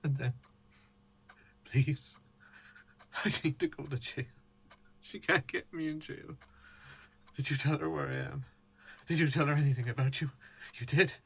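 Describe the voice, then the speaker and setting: A man playing a terrified, haunted murder suspect in a radio play, raspy and halting. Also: a little high, steady tone, full character voice. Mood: frightened, pleading. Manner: pleading, broken, halting.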